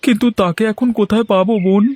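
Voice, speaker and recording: A man's voice: high-pitched, steady tone, fast talker, darkish tone, a little hiss or hum, close to an everyday voice. A man playing an anxious, luckless village man in a cartoon, speaking in a plaintive, lamenting way. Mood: worried. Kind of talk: Bengali cartoon dialogue dub.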